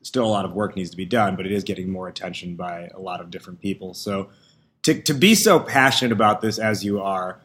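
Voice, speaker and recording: A man's voice: deep voice, a little fast, a bit bright, slight background noise. A man hosting an interview, friendly and engaged as he asks his guest questions. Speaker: interview host. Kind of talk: conversational interviewing.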